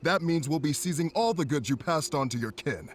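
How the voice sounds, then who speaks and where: A man's voice: on the high side, expressive, a little fast, lightly acted. An actor playing a stern male government officer in a video game, speaking in a formal, authoritative way.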